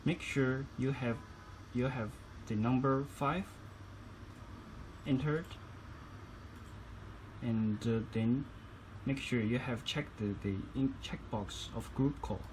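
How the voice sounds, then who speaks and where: A man's voice: mid-range voice, takes it slowly, pauses often, darkish tone, a little hiss or hum. A man giving instructional tutorial narration as a product demonstrator, phrasing each step carefully.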